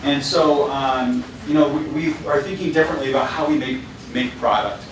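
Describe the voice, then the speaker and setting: A man's voice: medium pitch, moderate pace, distant mic, background noise. A man giving a casual presentation as a company founder, with many fillers and restarts. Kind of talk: presentation to students. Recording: very echoey hall, voice from afar.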